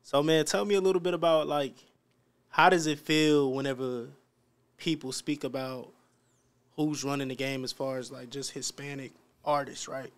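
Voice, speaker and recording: A man's voice: mid-range voice, steady tone, moderate pace. A man hosting a casual interview, asking questions and affirming the guest in informal slang. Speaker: interviewer, host.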